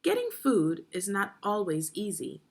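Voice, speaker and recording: Lady teacher doing a kids read along, slow n careful, bit gravelly, really expressive pitch. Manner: deliberate, clearly phrased, guiding young listeners with questions. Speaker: teacher narrating a children's read-along video. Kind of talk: instructional read-aloud of a children's nonfiction book.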